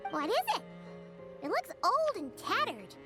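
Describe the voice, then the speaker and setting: A very high, squeaky video game fairy, small and childlike. The delivery is animated and cheeky, quick to doubt or protest, with music playing prominently behind it. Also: female voice, heavily acted. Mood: puzzled, doubtful. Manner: pert, indignant, speaks of self in third person. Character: tiny childlike fairy companion, pert, sceptical, excitable.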